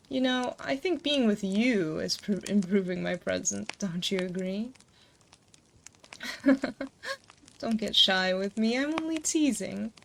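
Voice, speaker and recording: A woman playing an ancient succubus demon queen, motherly and gently teasing, in a hushed, soothing, intimate ASMR roleplay, close to a binaural mic. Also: mid-range voice, creaky. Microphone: close binaural 3Dio microphone. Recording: close binaural mic, noticeable background noise.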